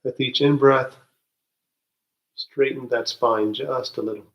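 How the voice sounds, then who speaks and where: Slow, low-voiced man guiding meditation. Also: steady tone, dull audio. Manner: slow, gentle, halting with soft restarts. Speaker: meditation guide. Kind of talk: guided spiritual meditation.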